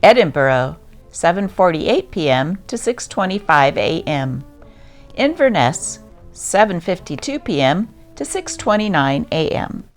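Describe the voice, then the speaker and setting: Woman narrating old newspaper articles, lowish voice, very animated pitch, music under it. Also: a little slow. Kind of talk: scripted narration, reading news aloud.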